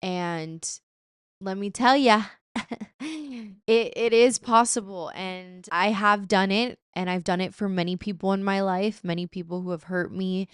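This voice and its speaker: A woman's voice: medium pitch, unhurried, smooth, no echo, clean studio sound. A woman in her twenties hosting her podcast, chatting casually and playfully with slang asides. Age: 20s. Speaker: podcast host. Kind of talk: casual chat.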